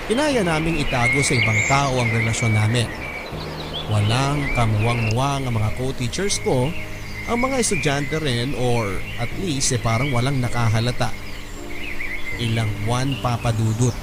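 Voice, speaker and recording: A man narrating a first-person letter in a calm, steady reading. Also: medium pitch. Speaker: letter reader. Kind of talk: scripted narration. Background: music.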